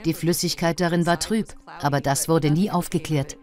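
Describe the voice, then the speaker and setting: A woman's voice: sits low, fairly even intonation, moderate pace, darkish tone, close to an everyday voice. A woman voicing an interviewee who comments on a criminal case in a dubbed documentary. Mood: matter-of-fact.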